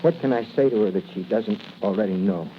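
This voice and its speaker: An actor playing a desperate, emotional young prisoner condemned to die, in 1940s radio melodrama on a muffled, hissy recording. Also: male voice, fairly low pitch, brisk, close to an everyday voice. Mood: anguished, bitter. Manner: emotional, anguished.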